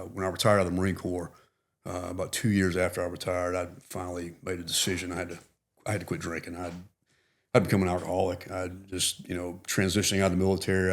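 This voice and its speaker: A middle-aged man, a retired Marine, talking earnestly and reflectively as a podcast guest, with many "you know" fillers. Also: sits low. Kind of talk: casual interview.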